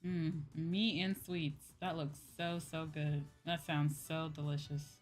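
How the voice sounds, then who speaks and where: A woman hosting a casual livestream, chatting with viewers in a drawn-out, exclamatory way and often repeating her phrases. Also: low-pitched, little pitch movement, faint music behind. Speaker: livestream host.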